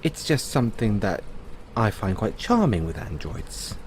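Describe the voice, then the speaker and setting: A man playing a thoughtful, kindly owner of an android in an audio roleplay, musing and explaining things to the listener in a measured way. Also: fairly low pitch, very animated, slight background noise. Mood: gently reassuring.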